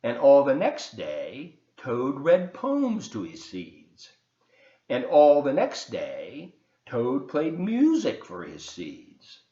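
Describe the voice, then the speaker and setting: A man reading aloud to children as a volunteer storyteller, expressive and encouraging, with chatty asides. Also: mid-range voice, slow pace, echoey room, lo-fi sound.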